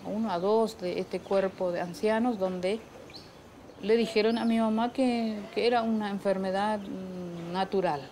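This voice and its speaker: Mellow, halting middle-aged woman outdoors. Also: medium pitch, a little hiss or hum. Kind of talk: conversational personal recollection. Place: leafy patio, outdoors.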